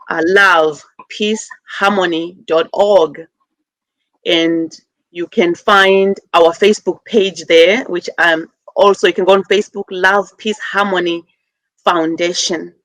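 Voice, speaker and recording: A middle-aged woman from Zimbabwe, a guest on a talk show, speaking earnestly with many pauses and fillers as she appeals for help. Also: on the low side, steady tone, fairly bright tone, no echo, low-quality recording. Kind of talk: call-in interview.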